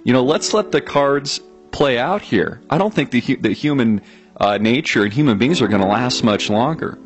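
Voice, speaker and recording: A man's voice: medium pitch, expressive, moderate pace, soft background music. A man hosting his own video channel, musing aloud to viewers in a reflective monologue, with frequent "uh"s and restarts.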